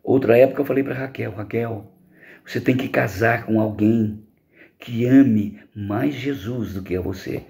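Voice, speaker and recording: A man's voice: mid-range voice, normal speed, creaky, darkish tone. A middle-aged man with a Brazilian accent teaching an informal Bible lesson to teens as a pastor, didactic and emphatic, often posing questions and answering them himself.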